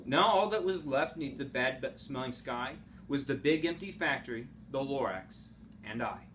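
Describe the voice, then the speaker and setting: A man reading a children's storybook aloud like a teacher, in a rhythmic, dramatic, expressive way. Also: mid-range voice, moderate pace. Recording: home recording with clear background noise.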